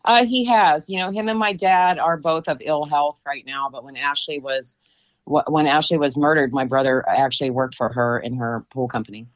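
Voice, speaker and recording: A woman's voice: sits low, fairly even intonation, normal speed, rough recording. A woman in her fifties answering interview questions, thoughtful and hesitant with many fillers. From United States. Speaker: interview guest.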